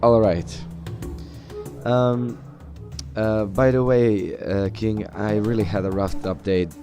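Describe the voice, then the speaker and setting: Low-pitched male gamer roleplaying over music. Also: steady tone, slow. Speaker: gamer voicing his player character. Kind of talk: improvised in-character roleplay of a video game. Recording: game music behind the voice.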